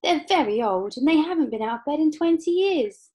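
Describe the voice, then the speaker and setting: A woman's voice: sits high, expressive, a little fast, warm tone, voice pushed up. A woman voicing an eager, earnest little boy in a breathless way. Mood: anxious.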